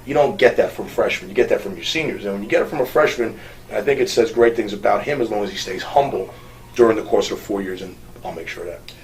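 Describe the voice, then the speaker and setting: A man who coaches college basketball, chatting in an interview and talking fast, with lively laughs when he quotes his players. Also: fairly low pitch, distant mic, a little hiss or hum, poor audio. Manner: fast, animated, laughs while quoting players. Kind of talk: casual interview chat.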